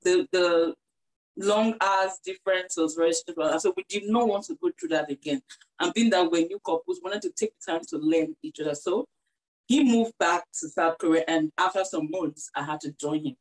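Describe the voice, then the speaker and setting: A young Nigerian woman guest in a casual interview, cheerful and quick to laugh. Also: fairly low pitch, expressive, low-quality recording.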